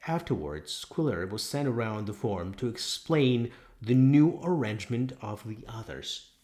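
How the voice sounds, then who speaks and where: A man's voice: mid-range voice, expressive, unhurried, a little mellow. A man narrating a novel as an audiobook, reading scripted prose in a dramatic storytelling style.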